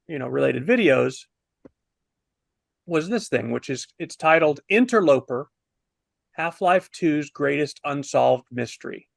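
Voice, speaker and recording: A man in his fifties telling a story in a casual, animated review on a video call, wordy and posing himself questions. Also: mid-range voice, gravelly, no room sound. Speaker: film review panelist.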